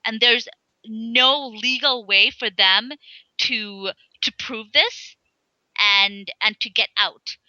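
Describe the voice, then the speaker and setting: A young woman from Sri Lanka, an interview guest speaking in a halting way with many fillers and restarts. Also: on the high side, fairly even intonation, bright, forceful tone, no echo, lo-fi sound. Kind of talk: casual interview talk.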